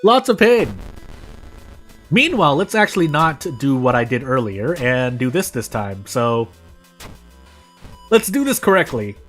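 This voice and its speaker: A man's voice: mid-range voice, sing-song, unhurried, pauses often, a bit bright. An American man giving casual live commentary as a game streamer, rambling and often correcting himself as he goes. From United States. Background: music.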